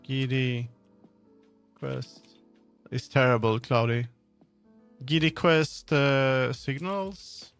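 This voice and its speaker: Casual male livestreamer, average pitch, muttery and wandering delivery, light music behind. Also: steady tone, drawn-out words, long pauses, a bit bright, clear, resonant tone. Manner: rambling, muttering to himself, jumping between thoughts. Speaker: streamer.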